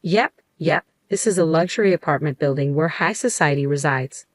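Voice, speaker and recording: A woman's voice: low voice, moderate pace, dry recording. A woman voicing an arrogant, snobbish male property salesman in a dismissive, mocking manner for a narrated story. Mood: smug. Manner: scornful, dismissive.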